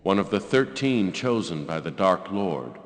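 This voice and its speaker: An actor playing a desperate, bitter assassin in a fantasy video game, with a dramatic, sardonic delivery. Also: male voice, low voice, very animated, measured pace. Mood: bitter, resigned. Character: desperate, bitter assassin, servant of a dark lord. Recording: long cavernous reverb under music.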